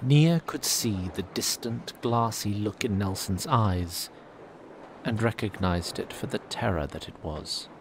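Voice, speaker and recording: A man's voice: fairly low pitch, measured pace, noisy background. A man reading scripted narration for a fantasy audio drama.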